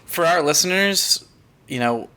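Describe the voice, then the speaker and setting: Bright-voiced man hosting a podcast. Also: fairly high pitch, lively intonation, unhurried. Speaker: podcast host. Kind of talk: casual chat and reading a short story aloud.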